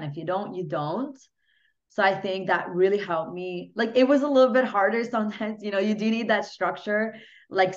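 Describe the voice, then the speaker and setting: A woman from Hungary with a slightly low voice chats as an interview guest. She is informal and earnest, wandering through her points. The sound is a little dull and lo-fi, like an online call. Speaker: podcast guest. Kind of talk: casual interview conversation. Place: remote online podcast call.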